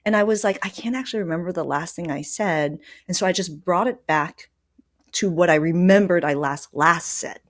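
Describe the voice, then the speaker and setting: A woman's voice: on the low side, moderate pace, dry recording, studio quality. A woman hosting a podcast in a casual, chatty way, informal and anecdotal with plenty of filler "like". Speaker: podcast host. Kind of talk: casual chat.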